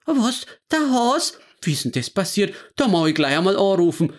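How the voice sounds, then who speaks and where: A woman's voice: medium pitch, very animated, moderate pace, pitched above the performer's own voice, lightly acted. A man voicing a flustered old grandmother. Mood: alarmed.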